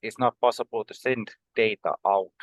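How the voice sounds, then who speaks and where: A man in his forties from Finland, a podcast guest explaining things in a measured way, with many um and uh pauses. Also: on the low side, steady tone, dry recording. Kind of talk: conversational podcast interview.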